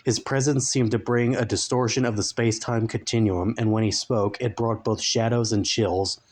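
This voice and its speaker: A man narrating a comic story as a dramatic reading, with deliberate, mock-solemn phrasing. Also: on the low side, steady tone.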